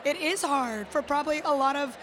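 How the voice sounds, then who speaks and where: A woman's voice: high voice, fairly quick, noisy background. A woman from Texas talking casually, rambling with lots of fillers. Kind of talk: casual chat.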